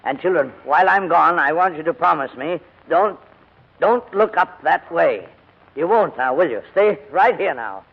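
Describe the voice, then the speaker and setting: An actor playing a crusty but kindly older gentleman in a formal, hesitant manner, in 1940s radio drama style. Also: on the high side, lively intonation, normal speed, vocal fry. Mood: gentle, subdued. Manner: fussy, grumbling, formal, hesitant. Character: crusty, reluctant but kindly old English gentleman guardian. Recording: muffled vintage 1940s radio recording, music.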